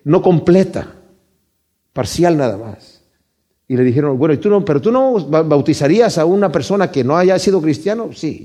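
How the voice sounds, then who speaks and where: A man's voice: fairly high pitch, mellow, husky. A man preaching a sermon in an earnest, conversational way, often asking his listeners rhetorical questions.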